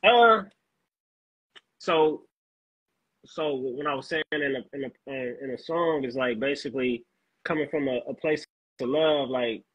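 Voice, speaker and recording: A man from Los Angeles, a rapper being interviewed, talking in a laid-back, halting way with lots of fillers. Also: mid-range voice, fairly bright tone, breathy edge, dry recording, highs cut off. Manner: laid-back, halting, fills with "you know what I'm saying". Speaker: guest rapper. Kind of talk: casual interview chat.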